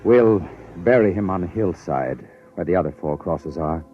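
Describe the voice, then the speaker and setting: A man acting in a 1950s radio drama as a compassionate, authoritative captain, earnest and deliberate, on a muffled old recording. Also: low-pitched, lively intonation, soft background music. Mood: grave, gentle. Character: compassionate, authoritative male spaceship captain, grave and understanding.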